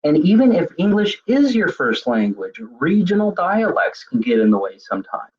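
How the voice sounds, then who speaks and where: Husky-voiced man giving a webinar lecture. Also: sits high, sing-song, moderate pace, a little mellow, highs cut off. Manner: conversational, with frequent fillers and restarts. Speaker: web seminar presenter. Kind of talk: lecture on leadership communication.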